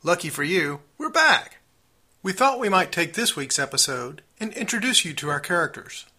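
A man's voice: high-pitched, very animated, normal speed, pushed and bright. A middle-aged man hosting a podcast, delivering an upbeat intro and reading character backstories in a theatrical way. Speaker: podcast host.

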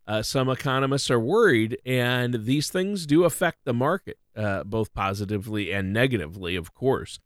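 A man's voice: mid-range voice, lively intonation, moderate pace, few pauses, no room sound, studio quality. A man hosting a financial radio show in a conversational style.

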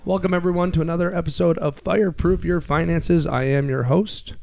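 Hoarse joking man losing his voice. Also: a little high, steady tone, brisk, over music. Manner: joking, rambling, self-correcting. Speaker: radio show co-host. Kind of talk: casual banter.

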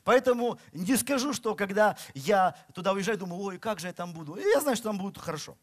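A man's voice: high voice, animated, fairly quick. A middle-aged man from Russia preaching a sermon, with emphatic delivery that rises and falls. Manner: emphatic, rising and falling delivery. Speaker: pastor.

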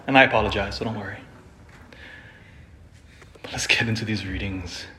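Priest preaching, measured and emphatic man. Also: lowish voice, fairly even intonation, bright, forceful tone, slight background noise. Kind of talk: scripture reading and conversational homily.

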